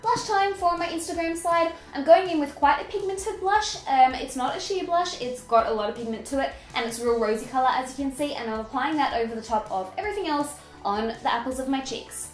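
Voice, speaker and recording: A woman's voice: sits high, a little fast, reverberant, faint music behind. A young woman with a stuffy, nasal head-cold voice, chatting upbeat and self-mocking through a makeup tutorial. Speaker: beauty vlogger. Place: indoor room with fairy lights.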